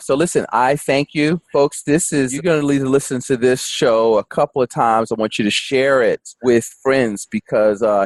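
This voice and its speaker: A man's voice: lowish voice, no echo. A man hosting a finance podcast, talking in a folksy, rambling way with lots of fillers. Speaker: podcast host. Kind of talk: casual interview chat.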